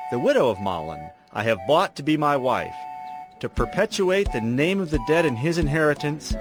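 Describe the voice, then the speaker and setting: An actor playing an honorable, resolute landowner of ancient Israel in a solemn dramatized scripture reading. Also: male voice, mid-range voice, natural voice. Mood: solemn, proclaiming.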